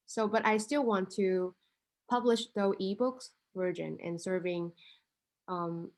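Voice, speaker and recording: A woman speaks English as her at a middling pitch. She talks slowly and hesitantly, thinking aloud and filling her pauses with "um" and "like". Her voice comes through a video call. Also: darkish tone. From Vietnam. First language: Vietnamese. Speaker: international student with a startup idea. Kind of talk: casual conversation.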